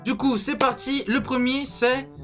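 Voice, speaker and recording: A man's voice: very high voice, a little slow. Young guy joking around youtube style, slangy, casual, music playing behind him. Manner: slangy, joking, animated storytelling. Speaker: YouTube host. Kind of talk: casual banter, ranking cartoons.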